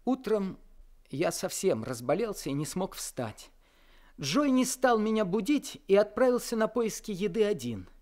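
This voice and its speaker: Exhausted young narrator, high voice, earnest, quiet, radio play. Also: male voice, very animated, unhurried. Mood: weary. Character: feverish, exhausted young man narrating his ordeal. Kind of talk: radio drama narration and dialogue.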